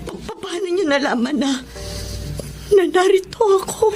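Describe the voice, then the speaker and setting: High-voiced, anguished jailed woman. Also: animated, moderate pace. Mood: startled, stammering. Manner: emotional, pleading, stammering when startled. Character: battered, jailed former housemaid, anguished and defensive. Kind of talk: Tagalog radio drama acting. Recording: dramatic music behind.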